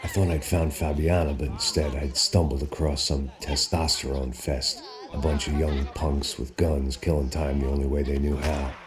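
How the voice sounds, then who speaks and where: An actor playing a world-weary, cynical middle-aged American ex-cop who narrates a crime game in a deadpan, sardonic voice. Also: male voice, extremely low pitch, normal speed, few pauses, a crowd in the background. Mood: wry, brooding. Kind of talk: noir voice-over narration.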